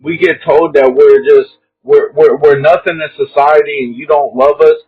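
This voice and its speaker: Young guy, husky voice a bit high, tinny phone line, keeps repeating himself. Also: fairly even intonation, normal speed, faint music behind. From Kentucky. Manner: emphatic, repeats himself, says "bro" often. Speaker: guest caller. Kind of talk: earnest casual chat. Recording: tinny phone-like call audio.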